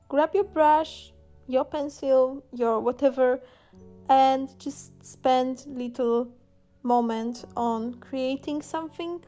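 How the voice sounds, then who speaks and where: A woman's voice: high voice, dark tone. A young woman with a Polish Slavic accent, an art teacher giving a casual, chatty voice-over for a painting video. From Poland. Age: young adult.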